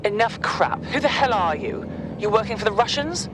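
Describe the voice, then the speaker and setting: Irate, very high-pitched captive. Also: male voice, big pitch swings, normal speed, noisy background. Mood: angry. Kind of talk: video game voice acting.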